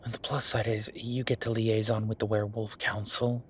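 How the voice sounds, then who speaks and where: Low-voiced, weary werewolf boyfriend played by a man. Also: flat delivery, normal speed. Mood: frustrated, resigned. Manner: conversational, sighing, world-weary. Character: weary, frustrated male werewolf official, affectionate boyfriend. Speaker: voice actor in audio roleplay. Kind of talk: intimate boyfriend roleplay audio acting. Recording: clear background noise.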